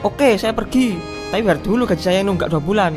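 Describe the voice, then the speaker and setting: A woman's voice: medium pitch, animated, fairly quick, music in the background, lightly acted. A woman voicing Adi, a young dishwasher from a village who mutters sarcastic remarks and talks back to the bosses. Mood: indignant. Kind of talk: cartoon drama voice acting.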